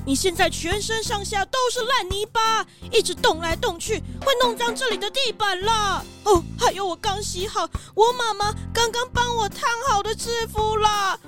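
A tiny, childish officer in a children's radio play. The voice is extremely high and whiny, with a Taiwanese accent, over clearly audible music. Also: female voice. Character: childish, whiny little police officer, easily delighted. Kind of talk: children's audio drama acting.

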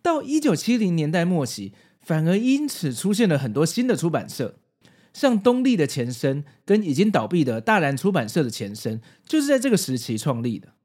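A man's voice: fairly high pitch, sing-song, fairly quick, darkish tone. A man in his forties with a Taiwanese Mandarin accent narrates a scripted video essay with casual asides, in an explanatory, nostalgic way. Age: 40s. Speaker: host.

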